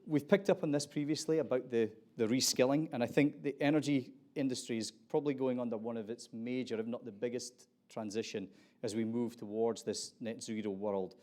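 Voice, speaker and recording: A middle-aged man with an Aberdeen Scottish accent opens a conference panel as its moderator. He talks in a loose, conversational way and often restarts his phrases. His pitch sits in an ordinary middle range for a man. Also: fairly even intonation, fairly quick, darkish tone. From Scotland.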